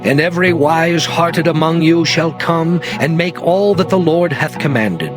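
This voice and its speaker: A man's voice: fairly high pitch, natural voice. A man voicing Moses, solemn prophet. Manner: solemn, measured declamation. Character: Moses, stern aged male prophet. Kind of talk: dramatized scripture reading. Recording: music playing underneath.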